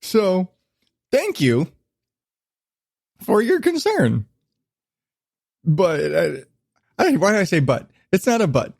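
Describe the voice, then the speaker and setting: A man's voice: high voice, wildly swooping pitch, slow, gravelly, pauses often, a little mellow, no room sound, studio quality. A man in his thirties hosting a casual solo podcast, rambling and swearing freely, still getting over a cold with a lingering cough. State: recovering from illness, lingering cough. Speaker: podcast host.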